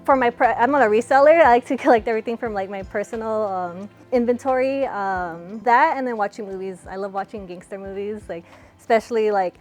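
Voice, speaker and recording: A young woman from California answering interview questions in a relaxed, chatty way, with lots of fillers. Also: on the high side, a little fast, soft background music. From California, USA. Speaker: interviewee, fighter.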